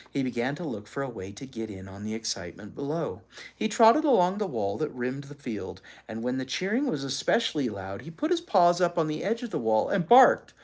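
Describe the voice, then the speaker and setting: Expressive read-aloud narrator for children's fiction, mid-pitched, with wide swings in intonation. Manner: animated, acts out characters' lines. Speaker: storyteller reading aloud.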